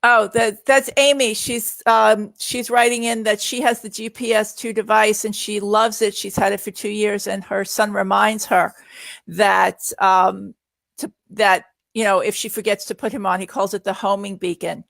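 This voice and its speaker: Middle aged lady doing casual q&a, earnest and reassuring, bit of vocal fry, dry close sound. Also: mid-range voice, flat delivery, normal speed, a bit bright, no room sound. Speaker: guest answering viewer questions. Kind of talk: casual live Q&A.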